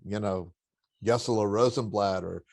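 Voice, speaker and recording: An older man with a baritone voice, a guest in an interview, telling family stories in a reflective, anecdotal way with occasional chuckles. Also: moderate pace, creaky, no echo. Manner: warm anecdotal storytelling with chuckles. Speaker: interview guest, spiritual teacher.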